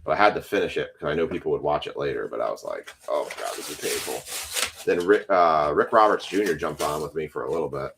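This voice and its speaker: Low voiced guy rambling on his card break stream, little bit of hiss behind him. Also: lively intonation, fast talker, creaky. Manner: rambling, chatty, talks to few viewers. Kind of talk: casual live-stream chat while opening packs.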